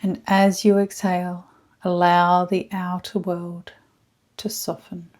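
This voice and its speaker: Very slow, soft woman guiding meditation. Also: fairly low pitch, level tone. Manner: hushed, soothing, deliberate phrasing with frequent pauses. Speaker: guided meditation leader, energy healer. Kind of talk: slow scripted guided meditation.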